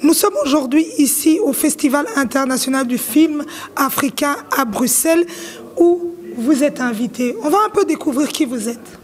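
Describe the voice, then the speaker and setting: High-voiced woman presenting interviews. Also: moderate pace. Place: film festival in Brussels.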